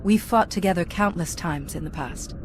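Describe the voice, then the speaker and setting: A woman's voice: on the low side, moderate pace, over music, close to an everyday voice. A woman playing a composed, direct Jedi commander in a video game, cool and matter-of-fact. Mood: composed, businesslike.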